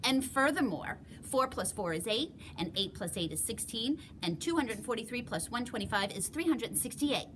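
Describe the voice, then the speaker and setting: A woman's voice: fairly high pitch, lively intonation, normal speed, few pauses, a little hiss or hum, pitched above the performer's own voice. A woman voicing a big, mean, boastful young capybara girl in a storybook, with a brash, emphatic delivery. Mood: smug, showing off. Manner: brash, loud, emphatic announcing. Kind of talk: storybook character voice.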